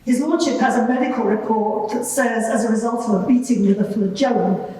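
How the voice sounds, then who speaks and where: A woman's voice: fairly high pitch, fairly quick, dark tone, natural voice. A woman playing a composed cross-examining lawyer, putting formal, pointed questions in a courtroom. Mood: probing. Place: large reverberant courtroom. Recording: very echoey hall, distant mic.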